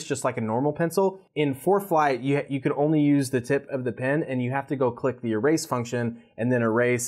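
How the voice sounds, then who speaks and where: A man, reviewing cockpit gear to camera in a small home studio, chatty and laughing at his own slips. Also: mid-range voice. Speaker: pilot and aviation channel host.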